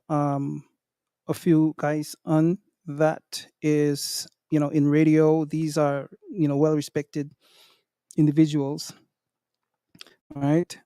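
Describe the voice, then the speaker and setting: A man hosting an online community meeting, talking slowly with many pauses and ums. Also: on the high side, warm tone, clear, resonant tone, no echo, studio quality. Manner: slow, hesitant, many ums. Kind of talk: casual online chat.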